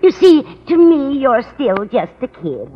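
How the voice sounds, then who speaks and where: A woman's voice: sits high, very animated, a little slow, slightly rough, heavily acted. An actress playing a kindly, fussy older landlady, sweetly muddled, in an old radio comedy. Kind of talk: 1940s-50s radio comedy acting. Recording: muffled, noisy old radio broadcast recording.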